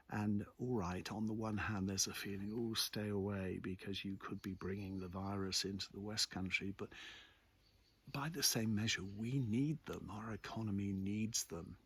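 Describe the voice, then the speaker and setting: A man talks in a low register with a lot of fry and a raspy edge. He hosts a casual, rambling chat, thinking aloud and pausing as he goes, addressing his followers directly. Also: a bit bright. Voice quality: husky, gravelly. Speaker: host of a local chat vlog. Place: outdoors in a churchyard.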